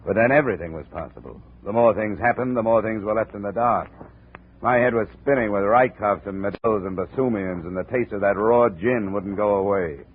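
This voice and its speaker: An actor playing a wry, hard-boiled investigator in a 1950s radio drama, dry, clipped and sardonic. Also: male voice, sits low, lightly acted. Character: wry, hard-boiled insurance investigator narrating his cases. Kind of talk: 1950s radio detective narration and acting. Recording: narrow, noisy old radio recording.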